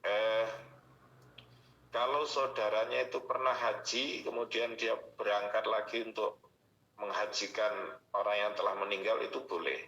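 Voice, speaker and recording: Male religious teacher, low bright voice with explanatory delivery, on muffled, echoey audio. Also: fairly even intonation, measured pace, creaky. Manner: explanatory, repeats and lists points. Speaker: Islamic teacher answering listeners' questions. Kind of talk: religious question-and-answer study session. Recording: muffled radio-like audio, roomy echo.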